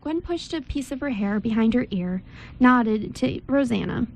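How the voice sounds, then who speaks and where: A woman's voice: on the high side, lively intonation, normal speed, low-quality recording. A woman reading scripted story narration aloud as narrator, occasionally stumbling over the words.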